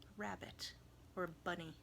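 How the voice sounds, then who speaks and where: A woman reading a picture book aloud to children, expressively and dramatically, dropping into hushed tones and chatty asides. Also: on the low side, fairly even intonation, normal speed, gravelly, noisy background. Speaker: volunteer children's story reader.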